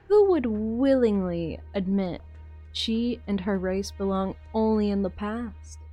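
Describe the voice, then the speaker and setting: A woman's voice: medium pitch, dark tone, poor audio. A woman voicing a cool, scheming female commander in an amateur fan dub, detached and mocking.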